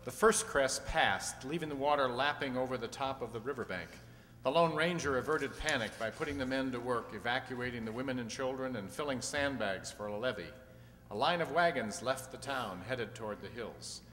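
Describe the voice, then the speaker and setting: Older man, crisp forceful tone, middle pitch, scripted western narration, echoey hall. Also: moderate pace. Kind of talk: scripted narration of old-time radio drama. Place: stage in a hall. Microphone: stand microphone. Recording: echoey hall, long reverb.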